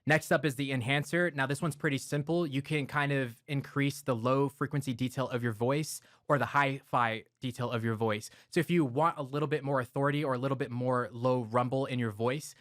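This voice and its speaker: A man of medium pitch walks through studio gear in a relaxed, step-by-step way, with the odd filler word. He talks close into a broadcast mic in a home studio, and the sound is dry with no room echo. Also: fairly quick, hardly any pauses. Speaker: audio gear reviewer. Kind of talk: explanatory gear walkthrough. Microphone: close broadcast dynamic mic.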